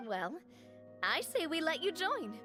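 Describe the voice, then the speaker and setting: A woman voicing a curious, upbeat young adventurer in a video game, with clear music behind her. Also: sits high, very animated, measured pace, pushed and bright, exaggerated voice. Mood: decisive. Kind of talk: video game character acting.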